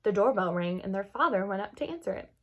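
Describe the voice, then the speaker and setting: A woman reading a children's chapter book aloud as an expressive storyteller. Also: medium pitch, fast.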